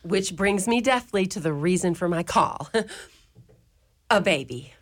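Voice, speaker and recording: A woman's voice: lowish voice, expressive, exaggerated voice. A woman playing a firm old mother superior. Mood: wry. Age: older. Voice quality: brisk, firm and preachy, with ready laughs. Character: stern, outspoken elderly convent mother superior. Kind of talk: community radio drama acting.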